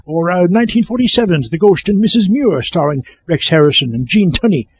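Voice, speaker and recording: A man's voice: high-pitched, expressive, a little fast, slightly rough, lo-fi sound. A man playing a pompous, easily exasperated horror film scholar, lecturing in a comic scripted monologue.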